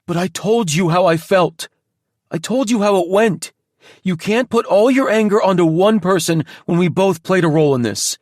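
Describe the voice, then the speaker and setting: A man voicing a defiant young son who pleads and shouts in a high voice. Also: normal speed, no echo, studio quality, natural voice. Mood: pleading.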